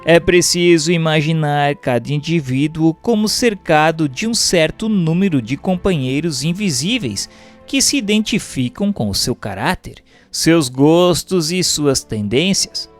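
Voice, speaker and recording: A man with a Brazilian accent giving a formal, measured, didactic scripted reading of a religious text as a narrator. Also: fairly high pitch, animated. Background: background music.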